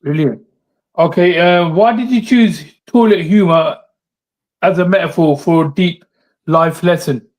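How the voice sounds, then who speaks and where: A man's voice: a little high, a little slow. Man host from the UK, inquisitive. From United Kingdom. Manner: inquisitive, short affirmations. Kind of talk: casual interview chat. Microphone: remote video call.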